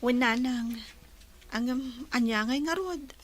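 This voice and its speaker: A woman acting a high-pitched plaintive young villager. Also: measured pace, natural voice. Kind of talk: radio drama acting.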